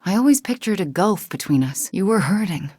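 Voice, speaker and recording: An actress playing a young sorceress heroine in a video game, earnest and guarded, with a soft, breathy, sincere and often hesitant delivery. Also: female voice, mid-range voice, animated, fairly quick, no echo, clean studio sound. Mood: wistful, reflective.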